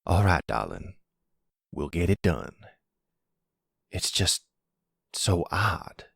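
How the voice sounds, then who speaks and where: A man playing a protective, calm older mafia grandfather in an ASMR roleplay, speaking gently in a folksy way. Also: low-pitched, takes it slowly, vocal fry, husky, no echo, clean studio sound, exaggerated voice. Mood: concerned, steady. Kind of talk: ASMR boyfriend roleplay acting.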